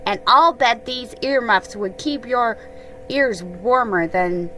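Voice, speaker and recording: A woman's voice: on the high side, slow pace, sharp tone, slight background noise, poor audio. A woman voicing a self-assured, helpful rabbit in an emphatic, matter-of-fact storybook manner.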